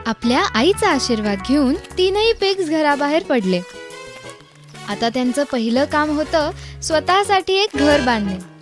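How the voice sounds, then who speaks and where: A woman's voice: high-pitched, sing-song, a little slow. A woman narrating a scripted children's story as a storyteller. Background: background music.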